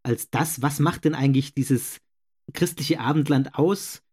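A young man with a regional German accent co-hosting a casual podcast, agreeable, affirming often and pausing on fillers. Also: on the high side, darkish tone, slightly rough. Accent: regional German, not standard. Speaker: podcast co-host. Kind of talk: casual debate chat.

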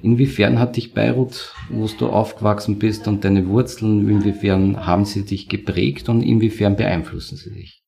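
A man's voice: on the low side, fairly even intonation, normal speed, creaky, dark tone. A man hosting a podcast interview, asking long, winding conversational questions.

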